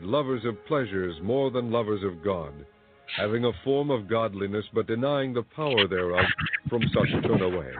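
A man's voice: on the low side, narrow, tinny audio. Man reading scripture over faint music. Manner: steady, measured recitation. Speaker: scripture reader.

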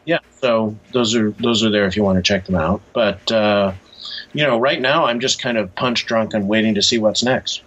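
A man answering casually as an interview guest, hesitant, low-key and matter-of-fact. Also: normal speed, fairly bright tone, lo-fi sound. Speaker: interview guest, comics creator.

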